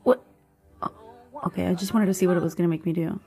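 A woman vlogging in a hushed half-whisper, slightly hoarse, reacting to quiz questions with bursts of excited disbelief. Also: lowish voice, fast talker. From Cuban family background. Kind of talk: casual chat, reading quiz questions aloud.

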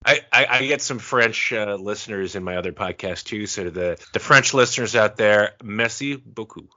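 A man who likes to tease his co-hosts, talking in a relaxed podcast chat. His voice sits a bit low but sounds sharp and forceful. The recording is muffled. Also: moderate pace. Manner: teasing, joking. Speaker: podcast co-host. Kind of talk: casual chat. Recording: dull lo-fi sound.

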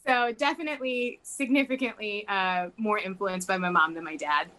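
Bright-voiced young woman, chatty and rambling. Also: mid-range voice, moderate pace, rough recording. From Mexico. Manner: rambling, heavy use of "like" fillers. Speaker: interviewee. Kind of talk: casual conversational interview.

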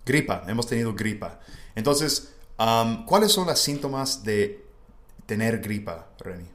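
A man's voice: on the low side, animated, measured pace, fairly bright tone. A man from the United States, a native English speaker, hosting a casual language-learning podcast. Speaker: podcast host. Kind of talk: casual educational podcast conversation.

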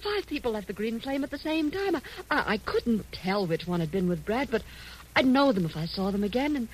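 A woman's voice: a little high, very animated, a little fast, a little hiss or hum, poor audio. An actress playing a scared, evasive woman in an old radio mystery, breathless, stammering and pleading. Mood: nervous. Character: frightened, evasive woman cornered in a noir mystery. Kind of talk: 1940s-1950s radio drama acting. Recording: old radio drama recording.

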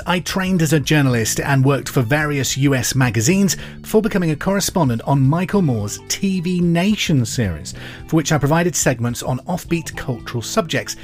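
A man hosting a pub quiz podcast, reading each question twice in a slow, clear way. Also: fairly high pitch. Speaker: quiz host.

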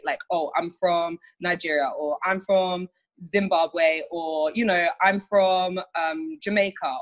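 A woman's voice: fairly low pitch, poor audio. A young British woman talking in a casual conversation, chatty and full of fillers. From United Kingdom.

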